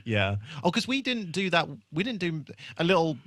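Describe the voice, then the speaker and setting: Man, podcast host, bright forceful voice, very dry recording, casual, rambling, jokey. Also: fairly high pitch, very animated, moderate pace, no room sound. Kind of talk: casual chat.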